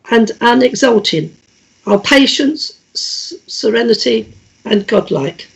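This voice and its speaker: A woman with a croaky, husky voice, reading prayers and leading a gentle healing meditation at a church service. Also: sits high, rough recording. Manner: gentle, measured, with frequent small restarts. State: croaky, coughing voice.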